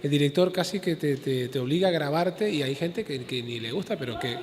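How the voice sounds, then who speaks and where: Higher-voiced man moderating, echoey hall. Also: expressive, brisk, a crowd in the background. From Tenerife, Canary Islands, Spain. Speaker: panel moderator. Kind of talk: live event moderating, questions and remarks. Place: art school event hall, live audience. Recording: echoey hall, long reverb.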